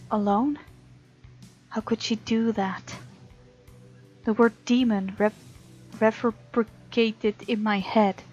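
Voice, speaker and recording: A woman's voice: mid-range voice, darkish tone, lo-fi sound. A woman hosting a let's play, reading game text aloud and reacting casually and expressively. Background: faint game music.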